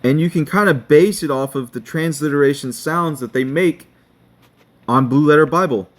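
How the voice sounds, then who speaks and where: A man giving a screen-share tutorial, talking slowly and checking in with his viewers. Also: a little high. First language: not Hebrew. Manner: slow, checking in with "okay?". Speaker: online teacher.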